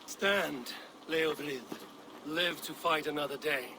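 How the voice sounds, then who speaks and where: Resolute Norse warrior, slightly high pitch, measured, commanding, noisy ambience, video game drama. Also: male voice. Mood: earnest, grave. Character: resolute, honorable Viking warrior leader. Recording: clear ambient background noise.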